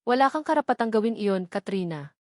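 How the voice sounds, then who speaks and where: A woman's voice: medium pitch, expressive, a little fast, no room sound, lightly acted. Woman voicing a tense Filipino man, dry sound. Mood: tense, indignant. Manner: terse, indignant. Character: tense, defensive adult man confronting his fiancee. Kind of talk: dramatic storybook dialogue.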